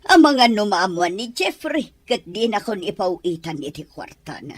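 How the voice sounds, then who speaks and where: A woman's voice: medium pitch, very animated, moderate pace, no room sound. A woman acting as a cold, calculating older mother-in-law in an Ilocano radio-style drama, speaking with stern control. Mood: scheming. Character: scheming older mother-in-law plotting against daughter-in-law.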